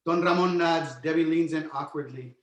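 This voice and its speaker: A man's voice: a little high, normal speed. A man narrating a screenplay, reading out scene headings and stage directions from the script. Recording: roomy, echoing sound.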